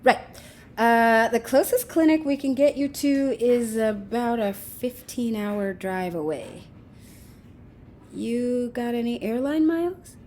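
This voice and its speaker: A woman's voice: a little high, slow pace, darkish tone, a little hiss or hum. A woman playing a sardonic, amused, slangy young woman in an audio drama, teasing and unapologetic in a natural, casual way. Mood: casual, practical.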